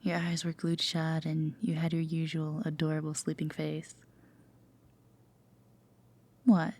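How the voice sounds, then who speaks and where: A woman playing a gentle, teasing, affectionate girlfriend in an intimate sleep-aid roleplay, with a soft, breathy half-whisper and a tender manner. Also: low-pitched, monotone, slow pace, full character voice. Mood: startled, tender, then playful, fond. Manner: hesitant, tender, gently playful.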